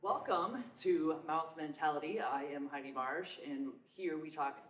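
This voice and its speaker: A woman hosting a casual food podcast, chatting in an upbeat way with quick asides. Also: sits low, soft background music. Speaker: podcast host. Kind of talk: casual interview chat. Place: Los Angeles. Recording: roomy echoey room.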